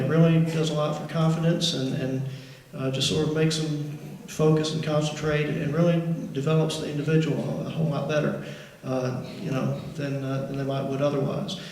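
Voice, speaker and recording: A man's voice: a little high, darkish tone. A male orchestra teacher, introducing a school concert in a rambling way with many fillers. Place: large school auditorium. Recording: echoey auditorium, faint noise.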